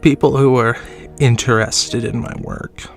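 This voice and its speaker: A man's voice: medium pitch, steady tone, unhurried, creaky. An actor playing a sickly, dying man, bitter and self-loathing, who laughs wryly and drops to whispers, in a horror audio drama. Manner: wry, mocking laughs, sinking to whispers. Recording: soft music underneath.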